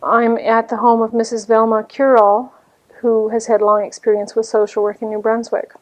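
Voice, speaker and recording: A woman conducting an oral history interview at someone's home, asking polite, gently probing questions. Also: a little high, flat delivery, moderate pace, rough recording.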